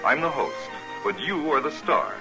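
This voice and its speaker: 50s tv host guy doing radio promo, earnest, animated midrange voice, music under. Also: a little high, normal speed, rough recording. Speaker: TV program host reading promo. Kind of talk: scripted 1950s broadcast promo.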